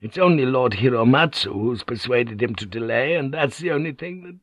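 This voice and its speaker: A man voicing a deferential, nervous male secretary in an audiobook, confiding in a hushed, hesitant, respectful way. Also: mid-range voice, animated, a little fast, dry recording, pitched above the performer's own voice, close to an everyday voice. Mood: confiding, worried. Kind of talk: audiobook character dialogue.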